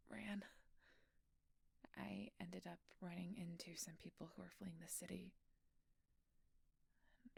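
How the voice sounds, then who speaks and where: A woman playing a frightened, guilt-ridden changeling fugitive in an intimate fantasy audio roleplay, with a halting, emotional delivery. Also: on the low side, vocal fry, breathy edge, dry recording, full character voice.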